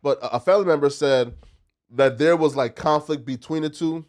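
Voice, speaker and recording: A man's voice: a little high, a little fast. A man in a casual podcast debate, speaking emphatically and argumentatively in slang. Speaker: podcast panelist.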